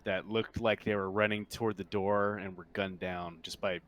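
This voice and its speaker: Bright, low-pitched male game master. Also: little pitch movement, normal speed. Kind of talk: casual narration of a tabletop roleplaying game.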